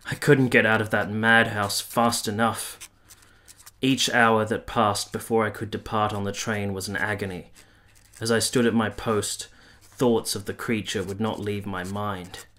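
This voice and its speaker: Low, even-voiced haunted soldier. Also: male voice. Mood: grave, haunted. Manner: grave, measured, literary. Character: haunted, horrified male soldier narrating his memories. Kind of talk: scripted first-person radio drama narration.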